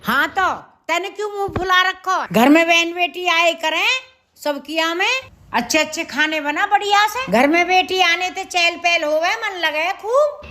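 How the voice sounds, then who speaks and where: A woman's voice: extremely high pitch, unhurried, pushed and bright, full character voice. A woman with a Haryanvi accent playing a bossy, commanding village mother-in-law in a comedy skit. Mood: scolding.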